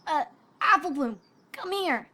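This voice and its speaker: A woman's voice: high-pitched, animated, a little slow, pushed and bright, pitched above the performer's own voice, exaggerated voice. A woman voicing a brash, sarcastic, stubborn young pegasus filly in a cartoon-style story reading. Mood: snickering, mocking. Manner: sarcastic, challenging. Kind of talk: cartoon-style character reading of fan fiction.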